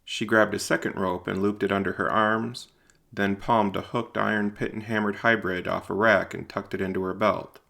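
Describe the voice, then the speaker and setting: A man narrating a scripted audio drama in a measured, deliberate, literary way. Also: lowish voice, steady tone, vocal fry, pushed and bright. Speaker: narrator and writer-performer.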